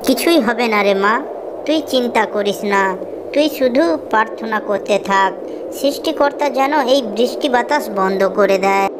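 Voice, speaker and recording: Woman voicing a caring cartoon mother bird, higher-pitched. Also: unhurried, noisy background, lightly acted. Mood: gentle, reassuring. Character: caring, hardworking mother tuni bird in a fable. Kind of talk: Bengali children's cartoon dubbing.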